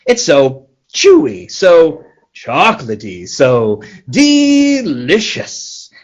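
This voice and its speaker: An actor playing a gushing old-time radio announcer selling candy with emphatic, folksy delivery. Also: male voice, a little high, wildly swooping pitch, very slow, lo-fi sound, exaggerated voice. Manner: salesy, emphatic.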